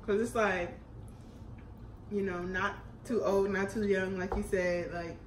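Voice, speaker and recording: A young woman in her twenties from Houston, talking in a relaxed, chatty way and laughing easily. Her mid-height voice sits in a roomy, echoey space. A faint hiss runs underneath. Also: mid-range voice, measured pace. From Houston, Texas, US. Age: 20s. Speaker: YouTube co-host. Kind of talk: casual chat during a mukbang Q&A. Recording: echoey room with faint noise.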